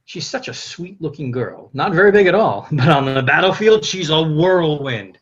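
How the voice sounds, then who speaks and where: A man's voice: a little high, expressive, normal speed, husky. An actor playing a cocky, foul-mouthed young French king who gloats and swaggers like a stage showman, over a lo-fi online call. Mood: smug, triumphant. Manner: boastful, indignant, swaggering. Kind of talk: theatrical comic monologue in a table read. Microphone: video call.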